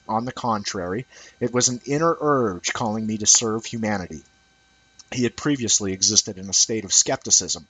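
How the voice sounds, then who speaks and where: A man's voice: on the low side, a bit bright, slightly rough, poor audio. A man reading a published essay aloud in a scripted audiobook style. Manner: dramatizes quoted speech.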